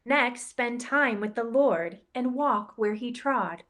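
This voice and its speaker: A woman reads a rhyming children's book aloud in a slightly high voice. She takes her time over each line, with a playful, expressive lilt that lets the rhymes land. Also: takes it slowly. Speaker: campus ministry director reading a picture book. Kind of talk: storybook read-aloud in rhyme.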